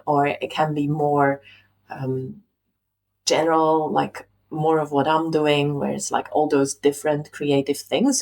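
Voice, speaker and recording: A young woman from Belgium co-hosting a podcast, speaking softly in casual chat with frequent ums and likes. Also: sits low. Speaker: podcast co-host.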